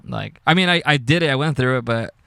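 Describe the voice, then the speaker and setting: A man's voice: mid-range voice, fast talker, vocal fry, a bit bright, clean tone, dry recording. A young man co-hosting a podcast, chatting casually, laughing often and filling his talk with "like" and swearing. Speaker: podcast co-host. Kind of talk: casual chat.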